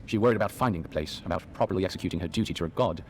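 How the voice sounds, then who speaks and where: An actor playing a learned, earnest archivist who explains lore precisely in a fantasy video game. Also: male voice, low-pitched, very fast, a little mellow, slight background noise, close to an everyday voice. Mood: reflective. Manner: bookish, precise. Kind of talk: video game dialogue, expository.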